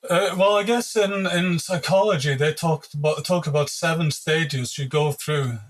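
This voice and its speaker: A Norwegian man in his late fifties, a native Norwegian speaker, talking in a measured, earnest podcast interview with many hesitant fillers. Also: fairly high pitch. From Norway. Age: 50s. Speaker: interview guest, patient advocate.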